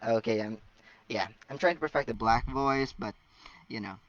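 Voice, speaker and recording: A man's voice: medium pitch, moderate pace, a bit bright, dry recording. Casual male fan fiction host, chatty and stumbling, slightly dull recording with some mic distance. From Philippines. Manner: rambling, stumbles and corrects himself. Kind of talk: casual chatty asides while reading stories.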